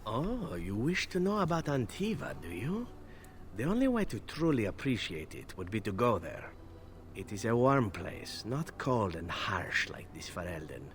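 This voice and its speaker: An actor playing a charming, flirtatious male elf assassin in a video game, with a smooth, teasing delivery. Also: on the low side, wildly swooping pitch, normal speed. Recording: game audio with faint noise.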